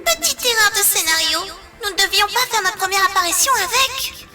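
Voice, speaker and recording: Squeaky, whiny girl bear cub. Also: expressive, fairly quick, pushed and bright, recorded from a distance, faint music behind, heavily acted. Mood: correcting, cute. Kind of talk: amateur French video game dub.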